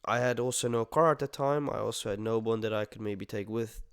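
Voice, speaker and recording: A man's voice: on the low side, fairly quick, smooth, dry recording, clean studio sound. A man in his twenties from the Netherlands, talking casually and at length to his viewers, slangy and swearing now and then. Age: 20s. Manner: rambling, slangy, swears, talks straight to the audience. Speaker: podcast host. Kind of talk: casual solo monologue to viewers.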